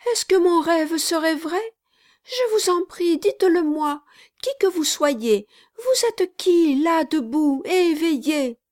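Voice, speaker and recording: A woman's voice: very high voice, slow pace, a little mellow, dry recording, pitched above the performer's own voice. A woman reading aloud, voicing a small, fervent boy at a window at night. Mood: pleading. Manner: pleading, desperate. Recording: dry close audiobook recording.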